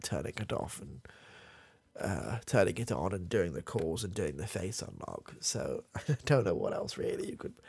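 Young man doing a gravelly doddering old granddad. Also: low-pitched, no echo, full character voice. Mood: rambling. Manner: rambling, halting, trailing off, chuckling. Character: doddering old granddad, confused, creepy, rambling. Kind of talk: comedy ASMR roleplay. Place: small dark room, indoors. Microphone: close studio mic, camera near face.

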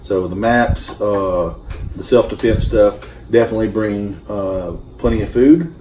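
A man in his thirties, a guest in a home kitchen, calmly talking through survival gear in a practical way with many fillers. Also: lowish voice, a little slow. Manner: steady, practical, hesitant with fillers. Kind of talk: casual explanatory chat. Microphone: far camera. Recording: roomy kitchen sound from a distant camera, faint hum.

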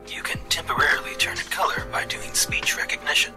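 A man voicing a guide robot in a language-learning game, calm and instructive, with a whispery, breathy delivery over game music. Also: brisk, close to an everyday voice. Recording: game audio with background music.

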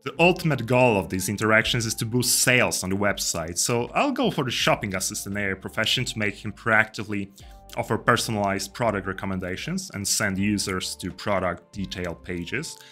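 A young man giving a casual step-by-step software tutorial, speaking into a close desk microphone indoors. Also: fairly low pitch, expressive, fairly quick. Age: young adult. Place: indoor room. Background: music.

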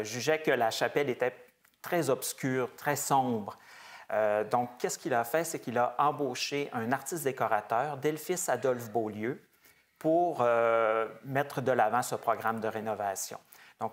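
A man in his forties, a museum research director, explaining the history of a chapel's stained glass in a measured, hesitant way with frequent fillers. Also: mid-range voice. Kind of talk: explanatory heritage talk. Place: small wood-paneled chapel, indoors.

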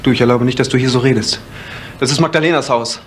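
An actor playing a wounded, defiant and fiercely loyal young son in a vintage German crime drama. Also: male voice, medium pitch, quick pace, lightly acted. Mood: defiant, hurt. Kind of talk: 1970s German television crime drama acting. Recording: echoey room, faint noise.

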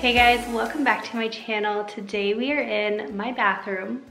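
Chatty upbeat woman vlogger, slight creak. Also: a little high, fairly even intonation, normal speed, over music. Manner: enthusiastic, chatty, addresses viewers directly. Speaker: homemaking vlogger. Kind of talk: upbeat cleaning vlog talk.

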